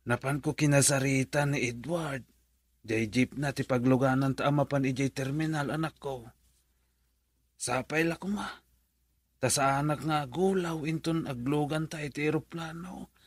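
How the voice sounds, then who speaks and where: A careworn Filipino father in a radio drama, with a husky voice pitched a little high. He is gentle and emotive, and the sound is close and dry. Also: steady tone, normal speed, no echo. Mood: tender, resigned. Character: tender, careworn father of a grown daughter.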